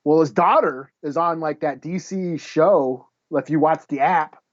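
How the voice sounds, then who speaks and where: Higher-voiced man, dull lo-fi sound. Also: unhurried. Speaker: podcast co-host. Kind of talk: casual chat.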